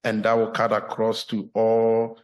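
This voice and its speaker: A man from Ghana with a Ghanaian accent, a clergyman giving a measured, earnest formal address at a church meeting. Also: on the low side, monotone.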